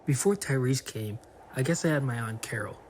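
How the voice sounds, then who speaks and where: Lonely young man played by a man, average pitch, self-conscious, motion comic drama, faint ambience. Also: lively intonation, fairly quick, a little mellow, slight background noise, natural voice. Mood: glum, confiding. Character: lonely, awkward young man confiding his frustration.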